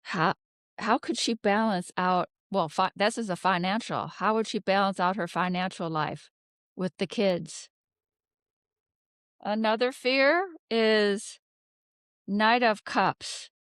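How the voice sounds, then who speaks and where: A woman giving an unscripted tarot reading, talking haltingly as she ponders aloud and poses rhetorical questions. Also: mid-range voice, a bit bright, clear, resonant tone, no echo, studio quality. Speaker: tarot card reader, host.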